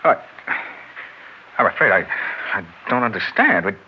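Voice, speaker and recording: An actor playing a scheming, persuasive American husband in a 1960s radio drama, smooth-talking but hesitant under pressure. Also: male voice, fairly low pitch, wildly swooping pitch, moderate pace. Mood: alarmed. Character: scheming, smooth-talking husband who plotted his wife's murder. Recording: dull, hissy 1960s radio recording.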